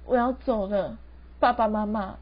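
A woman's voice: mid-range voice, measured pace, lightly acted. A teenage girl with a Taiwanese Mandarin accent playing a wronged, emotional young ghost daughter in an amateur radio drama. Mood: sorrowful. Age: teens. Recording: dull lo-fi student recording.

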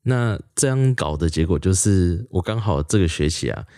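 Deep-voiced young Taiwanese man, dry close sound. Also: animated, moderate pace, dark tone, dry recording. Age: early 20s. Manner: informal, rambling, chatty. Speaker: podcast host, university student. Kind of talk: casual solo podcast chat.

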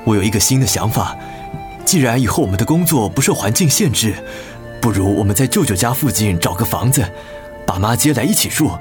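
Actor playing an earnest, pleading middle-aged Taiwanese husband, very expressive. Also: male voice, lowish voice, brisk, close to an everyday voice. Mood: earnest, encouraging. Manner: gentle, pleading. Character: earnest, apologetic husband trying to win back his wife. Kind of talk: Mandarin radio drama acting. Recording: music bed under the voice.

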